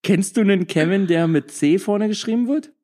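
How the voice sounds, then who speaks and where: A man's voice: high voice, normal speed, dark tone. A man in casual podcast chat from his living room couch, talking with many fillers and restarts and often laughing. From Germany. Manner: chatty, hesitant, laughs often. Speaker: podcast guest. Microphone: laptop call.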